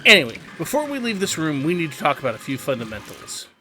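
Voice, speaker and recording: A man's voice: a little high, wildly swooping pitch, quick pace, pushed and bright, a little hiss or hum. A man hosting a gaming video, giving instructional tutorial commentary and stressing his key advice.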